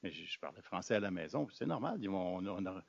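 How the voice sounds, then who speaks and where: A man's voice: low voice, sing-song, quick pace, gravelly, husky, dry recording. A man arguing in an academic discussion, hesitant and often repeating his words. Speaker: speaker at a seminar. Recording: dull lo-fi room recording.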